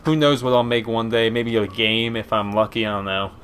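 A man's voice: lowish voice, steady tone, brisk, a bit bright, a little hiss or hum. Easygoing young man with a Pennsylvania accent. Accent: Philadelphia and Eastern Pennsylvania. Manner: rambling, easygoing, many fillers. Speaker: gaming commentator. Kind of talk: casual Q and A over gameplay. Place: small room at home, indoors.

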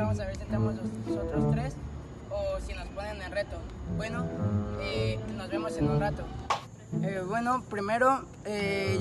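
A man's voice: high voice, low-quality recording. A teenage boy, chatting casually and joking with slang as he films a night-time vlog on a phone, outdoors on a street and then inside an empty house. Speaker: vlogger.

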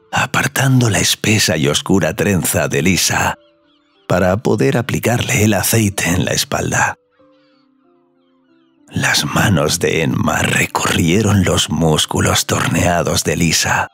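A man narrating scripted audio fiction in a slow, sensual storytelling manner. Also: deep voice, gravelly, a bit bright, breathy edge, no echo.

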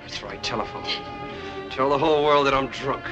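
A man's voice: medium pitch, wildly swooping pitch, normal speed, muffled recording, music in the background. An actor playing a bitter, drunken middle-aged husband who sneers and accuses his wife in a film scene. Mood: ranting. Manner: sneering, accusing, rising to rage.

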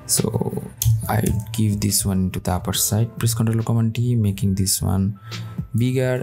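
A man talking slowly through a software tutorial over a screen recording, thinking aloud as he works, with non-native phrasing. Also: fairly low pitch, vocal fry. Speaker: tutorial host.

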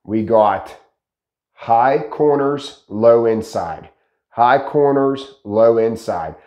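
Animated man teaching with a slightly raspy voice. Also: medium pitch, drawn-out words, roomy sound. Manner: animated, gestures a lot, asks rhetorical questions. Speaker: host teaching pallet building. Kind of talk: casual instructional talk to camera. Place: dark studio with green screen, indoors. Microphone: lapel mic.

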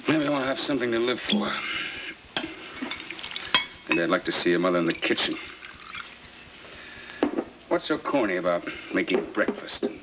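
A man's voice: low-pitched, lively intonation, moderate pace, long pauses. An actor playing a bitter, sarcastic middle-aged American father and husband in a 1950s film drama. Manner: sarcastic, grumbling. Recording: noisy old film soundtrack with clicks.

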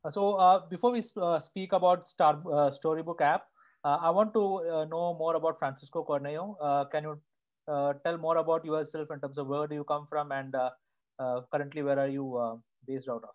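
A man's voice: a little high, steady tone, brisk, dull audio. A man in his thirties hosting an interview over a video call with an earphone mic, hesitant and full of "uh" fillers. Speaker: host. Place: home room indoors.

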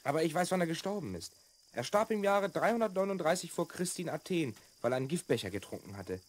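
A male actor playing an earnest, excitable twelve-year-old boy from the future in a children's audio drama. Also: fairly high pitch, quick pace. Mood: excited, eager. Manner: earnest, exclamatory, emphatic.

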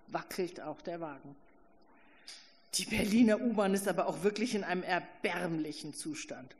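Ein Mann, der als professioneller Sprecher eine Erzählung in der Ich-Form gemessen und ausdrucksvoll bei einer Lesung vorträgt. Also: male voice, high-pitched. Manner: measured, expressive first-person narration. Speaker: professional reader. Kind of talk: literary reading. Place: event hall. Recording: reverberant hall.

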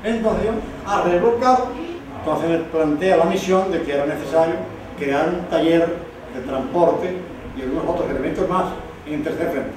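A man's voice: a little high, normal speed. Emphatic older man, echoey distant sound. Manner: emphatic, repeating for stress. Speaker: former rebel soldier interviewed. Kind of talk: recollecting in an interview. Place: living room, indoors. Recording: very echoey room, far mic, some hiss.

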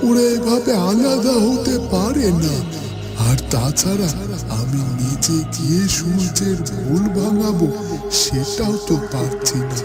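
A man voicing a caring, troubled dervish holy man in a cartoon, deliberately pondering aloud. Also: sits high. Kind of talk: slow dramatic cartoon monologue. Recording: loud music under the voice.

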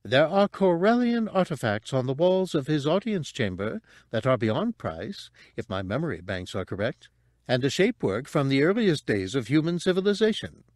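A man's voice: mid-range voice, very animated, moderate pace, darkish tone, no room sound, studio quality, pitched above the performer's own voice, natural voice. A man voicing a polite, servile droid with formal speech and a metallic tone. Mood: informative, grave. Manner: formal, courteous.

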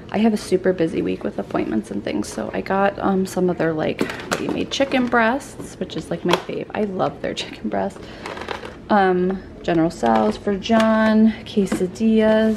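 A woman's voice: medium pitch, creaky, a little mellow. A woman in her thirties, a mom vlogger chatting casually to her viewers about groceries and home life, confiding and full of fillers. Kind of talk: casual chatty vlog voice-over. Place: home kitchen, indoors. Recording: faint background hum, dishwasher running.

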